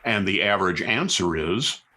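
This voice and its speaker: A man's voice: low-pitched, expressive, moderate pace, sharp tone, exaggerated voice. A man announcing and narrating a 1940s radio show in a formal, theatrical way. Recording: 1940s radio recording with music.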